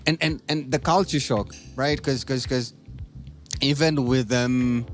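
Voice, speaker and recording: A young man, a stand-up comedian, chats as a podcast guest in an ordinary mid-range voice. He tells stories loosely, with slangy asides and quick restarts. Faint music plays in the background. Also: mid-range voice, fairly even intonation. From Kenya. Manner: laid-back slangy storytelling with lots of "yo" and "man", many restarts. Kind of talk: casual storytelling chat.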